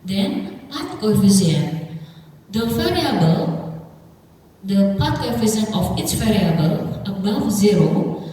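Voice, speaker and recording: A woman with an Indonesian accent giving a formal academic research presentation in halting, deliberately itemised phrases. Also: mid-range voice, sing-song, dark tone. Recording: very echoey, distant mic, faint noise.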